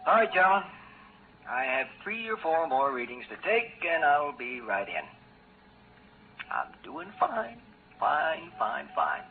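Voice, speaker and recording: An actor playing a carefree, absent-minded young scientist radioing from the moon, rambling in a singsong way, in 1950s radio drama style. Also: male voice, on the high side, sing-song, measured pace, long pauses. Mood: cheerful. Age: young adult. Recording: tinny, band-limited, hissy 1950s radio recording.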